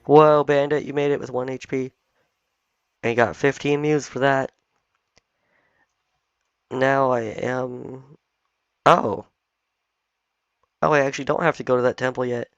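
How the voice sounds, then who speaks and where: A man hosting a gaming video, slightly hoarse while recovering from illness, chatting and thinking aloud as he plays. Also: medium pitch, steady tone, unhurried, pauses often, no room sound. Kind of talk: casual let's-play commentary. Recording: dull, dry home recording.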